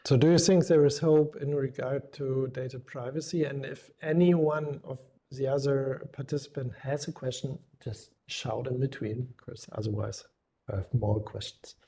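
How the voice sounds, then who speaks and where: A man moderating an informal conference discussion, putting questions tentatively with pauses along the way. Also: mid-range voice, steady tone, warm tone. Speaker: session moderator.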